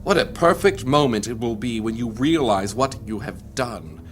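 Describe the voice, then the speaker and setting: A sinister male schemer from a fantasy radio play, acted by a man, manipulative and coolly menacing. His mid-pitched voice moves deliberately through formal, archaic lines. Dramatic music plays clearly behind him. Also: expressive, close to an everyday voice. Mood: gloating. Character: sinister, cunning male conspirator, coolly manipulative dark servant. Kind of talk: fantasy audio drama acting.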